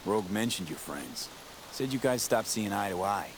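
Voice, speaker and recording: A deep, dry-spoken mercenary. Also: male voice, lively intonation, moderate pace, background noise. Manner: dry, terse questions. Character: laconic mercenary man, dry and probing. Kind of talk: video game cutscene acting.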